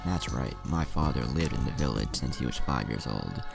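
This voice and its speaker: A defiant young ninja hero voiced by a male narrator answers firmly and seriously in a very deep, creaky voice, with music underneath. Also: lively intonation, normal speed, exaggerated voice. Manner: blunt, firm.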